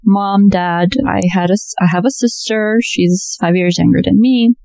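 A woman from Romania, a dietitian, telling her life story in a relaxed interview and laughing often as she talks. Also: mid-range voice, normal speed, no room sound, clean studio sound. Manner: laughs often, chatty with self-corrections. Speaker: guest, registered dietitian.

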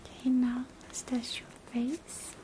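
A woman whispers gently through a soothing ASMR doctor roleplay. Her breathy, unvoiced whisper sits high, and she takes her time, speaking slowly and softly as she guides you through the exam. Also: monotone, rough recording. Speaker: ASMR creator playing a doctor.

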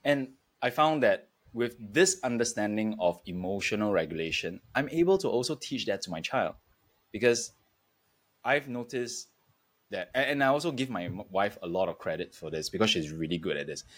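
Low-voiced man, halting and reflective. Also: lively intonation. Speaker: podcast guest, entrepreneur. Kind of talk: reflective interview talk.

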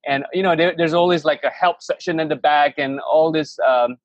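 A man's voice: a little high, fairly even intonation, normal speed, dry recording, muffled recording. A man in his thirties who grew up in Malaysia, a podcast guest telling his story in a rambling, enthusiastic way with many restarts. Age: 30s. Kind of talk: casual interview chat.

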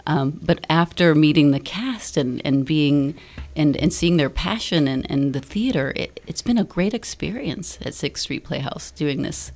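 Low-voiced middle-aged woman in interview. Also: measured pace, a little mellow. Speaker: stage play director and university teacher, interview guest. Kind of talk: conversational radio interview talk. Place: radio studio.

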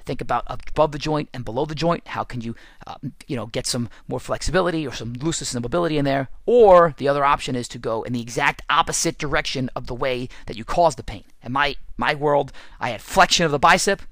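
Raspy-voiced man, chatty and dry. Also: mid-range voice, a bit bright, no room sound. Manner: chatty, self-deprecating, quick asides. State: raspy, losing his voice. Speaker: podcast host and football coach. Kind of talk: casual solo podcast with ad read.

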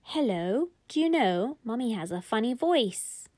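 A woman's voice: on the high side, big pitch swings, measured pace, darkish tone. A woman voicing a cheeky, innocent young child in a bedtime-story style. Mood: puzzled.

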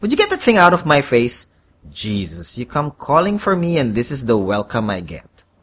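A man's voice: mid-range voice, huge pitch swings, normal speed, a bit bright, slightly rough, full character voice. A man voicing an impatient, weary devil who talks like a streetwise, wisecracking salesman in an audio story. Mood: exasperated, sarcastic. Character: brash, impatient horned devil, a weary wheeling-dealing salesman.

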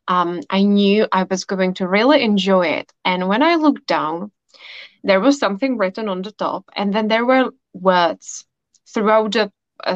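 Woman guest, unhurried and heartfelt, on a dry close recording. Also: medium pitch, no echo. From Czech Republic. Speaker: podcast guest. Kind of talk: warm storytelling chat. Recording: dull dry lo-fi audio.

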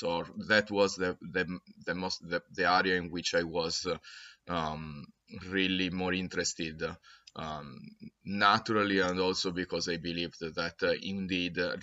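A man from Italy with an Italian accent, answering interview questions haltingly with many fillers and restarts. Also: low voice, bright, forceful tone, muffled recording. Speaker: academic guest.

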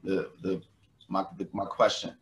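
A man hosting a casual interview, stammering and circling his way toward each question in a halting manner. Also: low voice, flat delivery, fairly bright tone. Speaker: interview show host.

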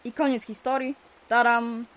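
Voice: mid-range voice, little pitch movement, moderate pace, fairly bright tone, slight background noise, lightly acted. A female performer playing a cheeky young child interrupting an uncle's story in an audio drama. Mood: eager, cheeky.

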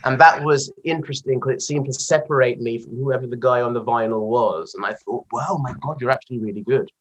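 A man's voice: medium pitch, brisk, breathy edge, low-quality recording. A man from England who grew up partly in Guyana, answering interview questions with wry, anecdotal stories. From born in England, raised partly in Guyana. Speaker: interview guest, singer. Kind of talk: casual conversational interview.

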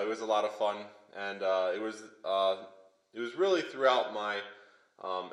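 A young male pastor from Pennsylvania, giving his testimony in a church hall. His voice sits low, and it rings with echo from the room. He speaks haltingly, with fillers and restarts. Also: a bit bright. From Pennsylvania, USA. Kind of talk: sermon testimony. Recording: echoey hall.

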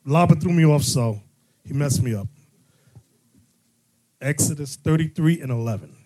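Husky preaching man, emphatic bursts. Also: a little high, expressive, normal speed, warm tone. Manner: call-and-response, rising emphatic bursts. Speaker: bishop teaching a congregation. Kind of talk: sermon-style teaching.

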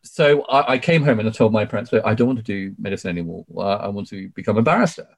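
A man's voice: lowish voice, expressive, fast talker. A British man in his forties telling his story as an interview guest, emphatic and effusive, peppering it with "you know". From UK, born in Sri Lanka. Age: 40s. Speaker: podcast guest, barrister.

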